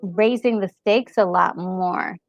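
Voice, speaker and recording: A woman's voice: fairly low pitch, takes it slowly, gravelly, muffled recording, soft background music. A woman in casual, reflective chat as a gaming co-host, hesitating and repeating words as she gathers her thoughts. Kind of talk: casual chat reviewing a game story.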